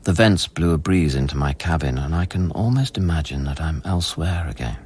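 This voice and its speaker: An actor playing a haunted, weary scientist who narrates his memories in a measured, introspective radio play style. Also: extremely low pitch, expressive, darkish tone, a little hiss or hum. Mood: calm, resigned. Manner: brooding, measured, literary.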